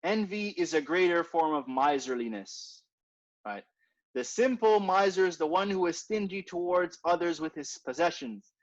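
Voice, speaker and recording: A man's voice: a little high, animated, normal speed. A man teaching an informal religious class in a conversational way, repeating his points and often asking "right?". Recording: dull lo-fi recording.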